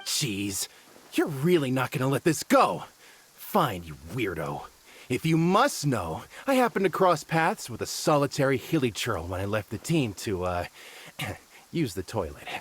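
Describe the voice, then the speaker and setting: A man's voice: medium pitch, very animated, a little slow, slight background noise. A man playing a cocky, dismissive young forest ranger in a fantasy game, flippant and scornful. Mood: grudging. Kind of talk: dramatic game voice acting.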